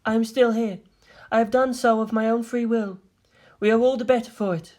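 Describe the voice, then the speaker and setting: A narrator voicing an eerily calm, formal teenage girl in a story reading, with measured and devoted delivery. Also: mid-range voice, voice pushed up, lightly acted. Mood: serene, detached.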